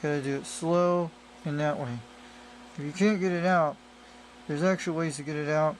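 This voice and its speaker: A man with a slightly high voice talks through a hands-on gadget demo. He explains casually and rambles, often circling back over the same point. It is an amateur camera recording with faint noise behind him. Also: steady tone, moderate pace. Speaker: radio hobbyist presenter. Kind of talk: casual hands-on product demonstration. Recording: noisy amateur camera audio.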